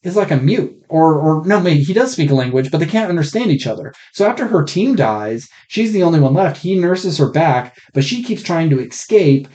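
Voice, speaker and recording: A man's voice: on the high side, lively intonation, brisk, hardly any pauses, dark tone. A man co-hosting a podcast in casual movie review chat, blunt, sweary and rambling. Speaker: podcast co-host. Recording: muffled, rough faulty podcast mic.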